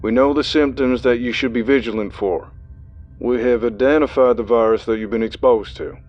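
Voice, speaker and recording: A man's voice: mid-range voice, moderate pace, muffled recording, soft background music, pitched above the performer's own voice, natural voice. A man playing a stiff older official on a megaphone. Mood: formal. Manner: formal, bureaucratic announcing.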